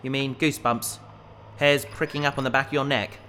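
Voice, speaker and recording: A man's voice: mid-range voice, flat delivery, fairly quick, pushed and bright, close to an everyday voice. A man playing an eager, polite and pedantic researcher exploring in a sci-fi audio drama. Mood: cautious, polite. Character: eager, bookish male researcher explorer, polite and mild-mannered. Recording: long cave reverb, faint noise and ambience.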